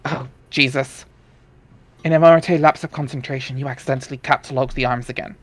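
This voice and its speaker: A man's voice: medium pitch, fairly even intonation, quick pace, no echo. A man narrating a webcomic dramatic reading in a wry, informal way, with casual asides.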